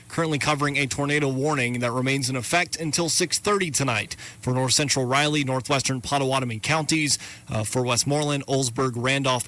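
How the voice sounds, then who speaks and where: Bright-voiced male radio severe weather broadcaster. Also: medium pitch. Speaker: radio weather coverage host.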